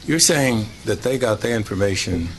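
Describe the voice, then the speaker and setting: Guy grilling a witness, lowish voice, keeps interrupting, bit of hiss. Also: lively intonation, moderate pace. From Houston, Texas. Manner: interrupting, challenging, repeats words as he presses. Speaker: committee member. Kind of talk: questioning at a hearing. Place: legislative hearing room.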